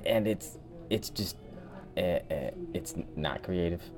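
This is a man with a low-pitched voice co-hosting a podcast. He talks casually and gives his opinions freely. His delivery is halting, circling back over phrases as he makes his case. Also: music in the background. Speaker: podcast co-host.

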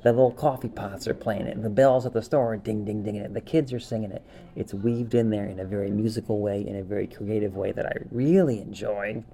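A man's voice: on the low side, fairly even intonation, a little fast, dark tone. A man co-hosting a podcast in casual, enthusiastic chat, often repeating his phrases. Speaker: podcast co-host.

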